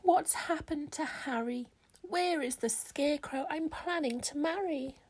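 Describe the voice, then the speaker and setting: A steadfast scarecrow bride from a children's storybook , acted by a woman reader. She is earnest and firm, with a fairly high voice and measured delivery. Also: a little mellow, rough recording. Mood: worried.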